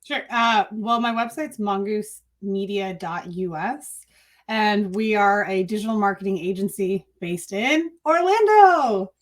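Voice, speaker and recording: A woman's voice: mid-range voice, measured pace. A woman in her thirties chatting casually as an interview guest over a video call. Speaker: interview guest, marketing agency owner. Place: room at home, on a video call.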